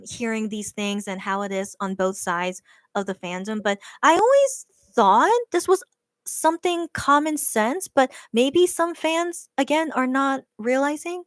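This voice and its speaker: A woman's voice: a little high, dry recording. Earnest woman chatting with viewers. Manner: hesitant, many restarts and "right?" tags; earnest, careful to explain her view. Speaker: livestream host reading chat. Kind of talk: casual chat with viewers.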